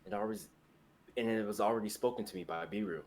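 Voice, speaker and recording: A man's voice: lowish voice, fairly even intonation, fast talker, close to an everyday voice. A man playing an earnest, resolute and tender fantasy hero in a dramatic reading. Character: earnest heroic young man, resolute, tender, self-sacrificing.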